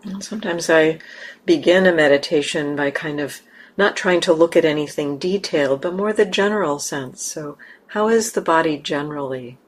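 A woman leading a guided meditation as a teacher, speaking gently and unhurriedly with long pauses. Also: sits low, slight background noise.

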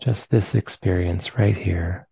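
A man with an American accent, leading a guided meditation in slow, soft phrases with long pauses. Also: low-pitched, steady tone, creaky, husky, no room sound. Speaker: meditation teacher.

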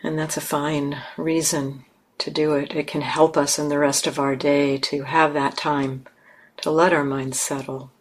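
A woman leading a guided meditation in measured, instructive phrases with long pauses. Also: sits low, level tone. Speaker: meditation teacher.

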